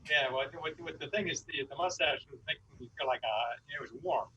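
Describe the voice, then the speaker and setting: A man chatting casually on a video call, halting and repeating his words as he goes. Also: medium pitch, pushed and bright, husky. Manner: halting, stammering over words. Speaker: club member on a video call. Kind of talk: casual chat. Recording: muffled, distant, noisy video-call audio.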